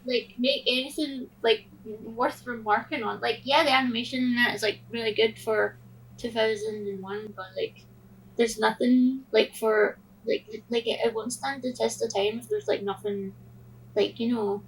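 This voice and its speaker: A woman co-hosting a casual film podcast, talking haltingly with lots of "like". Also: a little high, steady tone, a little hiss or hum. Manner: halting, heavy use of "like". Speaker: podcast co-host. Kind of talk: casual film review chat. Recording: slightly distant from mic.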